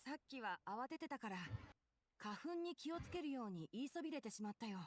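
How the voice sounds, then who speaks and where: A woman's voice: high voice, animated, very fast, slight background noise. A woman playing a friendly, considerate acquaintance in a video game, speaking casually. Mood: friendly concern. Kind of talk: scripted game dialogue.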